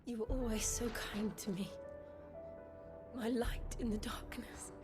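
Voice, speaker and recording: Actress as a soft-spoken, resolute young woman. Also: a little high, steady tone, unhurried, music in the background, lightly acted. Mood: tender. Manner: earnest, soft-spoken, pleading. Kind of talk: video game cutscene acting.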